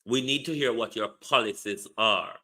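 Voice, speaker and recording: A man's voice: fairly low pitch, moderate pace, sharp tone. A man giving an emphatic political commentary monologue, full of repeated rhetorical questions. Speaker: online commentator.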